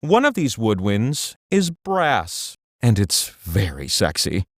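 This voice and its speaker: An actor playing a quirky, excitable trivia host in a party video game, with a theatrical, playful delivery and exasperated asides. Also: male voice, lowish voice, slow, exaggerated voice. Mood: playful, coaxing. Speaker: game show host character. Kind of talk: quiz hint narration for a party video game.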